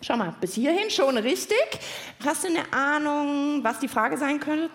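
A woman's voice: high-pitched, lively intonation, unhurried. A woman hosting a children's science show live, chatting casually and putting playful questions to the audience. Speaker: host of a children's science podcast. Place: live on stage at a podcast festival.

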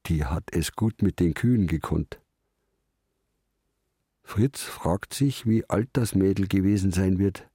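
An actor playing a gruff, taciturn old fisherman in a radio drama, speaking and narrating laconically with a Bavarian accent. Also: low-pitched, unhurried, long pauses, warm tone, no room sound, studio quality, exaggerated voice. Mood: musing, grumbling. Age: older. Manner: laconic, grumbling dialect speech. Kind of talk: radio drama, part narration in third person.

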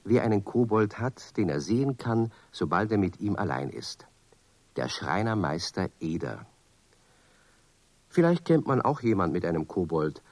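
A man's voice: on the low side, a little mellow, no room sound. A man narrating a children's radio play, addressing listeners with questions and playful emphasis.

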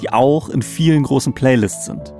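A man's voice: mid-range voice, moderate pace, over music. A man co-hosting an investigative podcast in a conversational narrating style. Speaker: podcast co-host.

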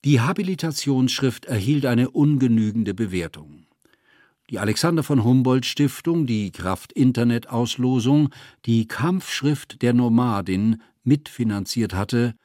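A man of medium pitch reads scripted literary prose. His delivery is formal and matter-of-fact, and the sound is very dry, with no room around it. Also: animated, measured pace, darkish tone, no room sound. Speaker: narrator of a literary radio text.

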